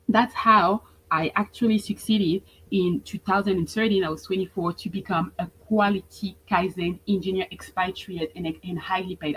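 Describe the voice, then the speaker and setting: A woman's voice: mid-range voice, a little hiss or hum, rough recording. A thirty-year-old woman, a business coach giving a motivational webinar and repeating phrases for emphasis. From France.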